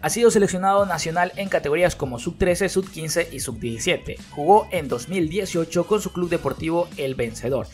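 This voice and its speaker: A man hosting a football news channel, reading the day's headlines in a brisk newsy voice-over and speaking directly to viewers. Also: sits high. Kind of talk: read-out sports news narration. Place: voice-over, not recorded at the pictured fields. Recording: voice-over with faint background music. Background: background music.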